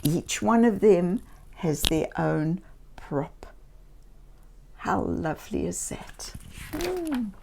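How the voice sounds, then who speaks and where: A woman reading a children's story aloud in a gentle, theatrical way, speaking directly to young listeners. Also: lowish voice, big pitch swings, very slow, vocal fry, pauses often, noisy background. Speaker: children's story reader and host.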